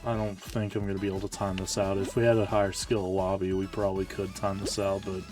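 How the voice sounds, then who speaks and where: A male streamer chatting casually while playing a game, rambling and correcting himself, sounding tired and a little hoarse. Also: level tone, fast talker, darkish tone, far from the mic, faint music behind. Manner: rambling, self-correcting, low-key. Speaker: Twitch streamer. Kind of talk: casual live-stream gaming chat.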